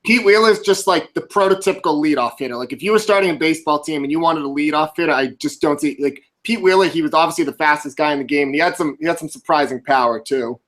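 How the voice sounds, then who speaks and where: A man's voice: fairly high pitch, fast, hardly any pauses, fairly bright tone. A man in his twenties, a blogger guesting on a podcast, talking casually, laughing easily and saying "like" a lot. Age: 20s. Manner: chatty, laughs easily, lots of "like".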